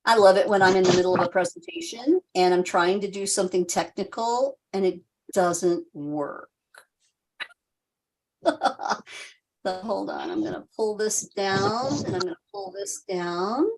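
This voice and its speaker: A woman's voice: medium pitch, sing-song, a little slow, a little mellow, low-quality recording. A woman in her sixties, hosting a live online sales presentation in a chatty, self-deprecating way with lots of ums. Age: 60s. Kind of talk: casual live-stream pitch.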